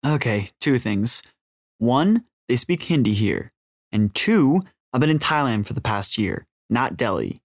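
A man reads as a hard-edged woman smuggler in an audiobook thriller, low in pitch. She is blunt and impatient, heard close and dry. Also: normal speed, few pauses, dry recording, lightly acted. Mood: annoyed. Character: tough, curt American woman drug dealer.

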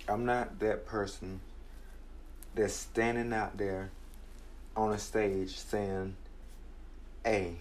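Young man, early twenties, earnest motivational talker, slow deliberate delivery, lowish voice. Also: a little mellow, a little hiss or hum. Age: 20s. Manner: earnest, measured, reflective. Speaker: podcast host. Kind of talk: motivational personal talk.

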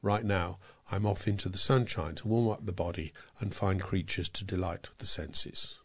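Male, British accent, lowish pitch, volunteer reader of scripted local news and narration. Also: moderate pace, breathy edge. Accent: British English. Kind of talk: scripted narration, reading aloud.

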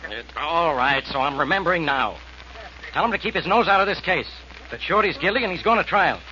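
An actor playing a gruff, exasperated police inspector in 1940s radio style, snappy and blustering. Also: male voice, on the high side, lively intonation, fairly quick, sharp tone. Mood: blustering, impatient. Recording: muffled noisy old radio recording.

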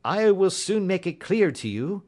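A man's voice: high voice, sing-song, unhurried, darkish tone, pitched above the performer's own voice, exaggerated voice. A man voicing a gaunt, knowing servant woman, deferential but insistent and folksy, in a storybook reading. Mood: earnest.

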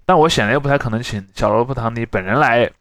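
A man's voice: mid-range voice, very fast, fairly bright tone, no echo, studio quality. A man hosting a podcast, chatting casually and explaining things through examples. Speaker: podcast host.

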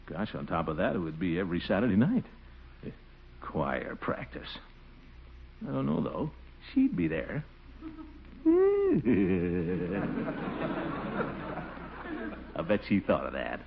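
A blustering vain radio bachelor. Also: male voice, creaky, heavily acted. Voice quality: booming, chuckling, flustered. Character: pompous blustering middle-aged bachelor, vain. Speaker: lead character. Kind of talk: 1940s radio comedy acting. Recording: old 1940s radio broadcast, muffled, hissy.

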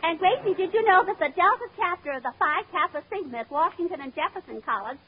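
A woman's voice: very high voice, animated, fast talker. An American woman playing an eager assistant who announces things enthusiastically in a 1940s radio comedy. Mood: enthusiastic. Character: eager assistant reading campaign mail aloud. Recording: muffled vintage radio broadcast.

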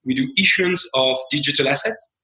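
A man in his forties from France with a French accent, talking as a founder guest in an interview in a measured, reflective way. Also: on the high side, pushed and bright, reverberant. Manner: measured, reflective, many fillers and restarts. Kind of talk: interview conversation.

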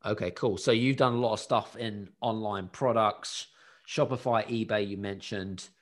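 A man's voice: lowish voice, steady tone, moderate pace. A man hosting a coaching session, upbeat, asking direct questions. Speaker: business coach and podcast host. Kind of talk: coaching conversation.

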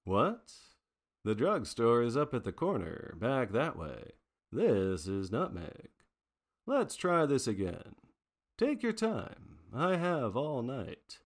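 A man's voice: medium pitch, wildly swooping pitch, slow pace, full character voice. A man playing a wry, unflappable private detective in a 1940s noir radio drama, with a theatrical, wordy manner. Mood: sardonic, teasing. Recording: long reverberant hall sound.

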